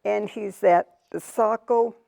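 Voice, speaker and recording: A woman hosting a small talk show, chatting casually and introducing her guests warmly. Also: mid-range voice, fairly even intonation, slow pace, creaky. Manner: chatty and encouraging. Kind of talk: casual interview chat.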